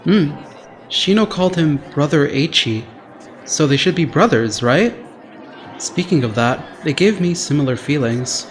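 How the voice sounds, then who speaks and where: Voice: mid-range voice, animated, a little slow, natural voice. A performer voicing an earnest, protective teenage idol boy with a formal way of talking, in an anime fandub.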